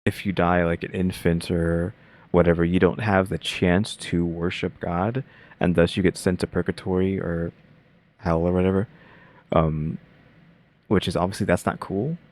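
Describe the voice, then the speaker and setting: A man with a very deep voice talks casually while reacting to a video. He thinks out loud, rambles and fills gaps with uh and like, sometimes rushing ahead and sometimes slowing right down. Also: fairly even intonation. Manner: rambling, many fillers, pace jumps between slow and rushed.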